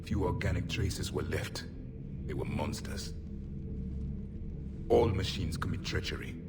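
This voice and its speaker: Ancient alien soldier, really low voice, proud n bitter, game dialogue over loud music. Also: male voice, animated, measured pace. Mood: grim warning. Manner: grave, haughty, solemn pronouncements. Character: ancient alien warrior survivor, proud, bitter, distrustful of machines. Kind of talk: video game voice acting.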